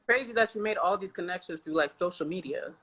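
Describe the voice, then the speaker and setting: A woman's voice: on the low side, fast talker, a bit bright, husky. An American woman hosting an interview show, talking casually and informally with some slang. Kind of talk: casual chat.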